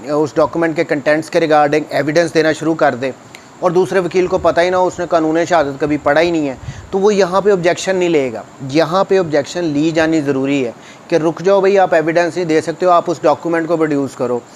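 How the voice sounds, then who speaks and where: A man's voice: on the high side, a little fast, a little hiss or hum. A man with a Pakistani accent giving an explanatory law lecture in a didactic way, repeating key points for emphasis. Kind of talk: explanatory law lecture, reading statutes and paraphrasing them.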